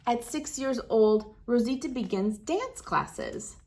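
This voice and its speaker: A woman hosting a read-aloud, narrating for children with expressive, deliberate phrasing. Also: a little high.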